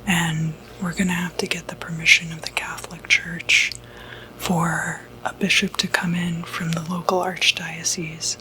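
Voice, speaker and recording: A reassuring doctor , played by a woman, whispers through an ASMR medical roleplay. The delivery is breathy, low and very unhurried, with soft, hesitant phrasing. A light noise sits behind the voice. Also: level tone, poor audio. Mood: calm, explanatory. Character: gentle, reassuring doctor who treats demonic possession.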